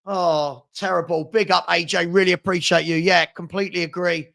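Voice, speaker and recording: High-pitched, hoarse British male fan host. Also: fairly even intonation, normal speed, a bit bright. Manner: exasperated, emphatic, repeats phrases for effect. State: hoarse, losing his voice. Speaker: host of football watchalong stream. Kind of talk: live fan commentary, reading viewer comments.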